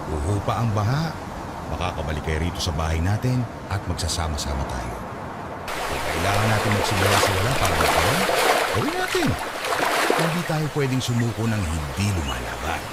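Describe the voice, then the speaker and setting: A patient, hopeful father in a radio drama, with a lowish voice that rises and falls expressively. He sounds earnest and reassuring, and loud music plays under him. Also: wildly swooping pitch, brisk. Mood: hopeful, resolute. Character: patient, hopeful poor father consoling his child.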